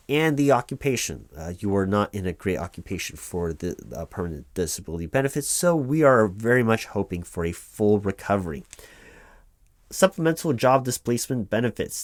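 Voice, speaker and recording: A low-pitched, officious male company clerk, played by a man in an audio roleplay, recorded close and dry. He goes through official paperwork in a bureaucratic way, hedging and reassuring evasively. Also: no echo. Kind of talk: one-sided ASMR roleplay, reading paperwork aloud.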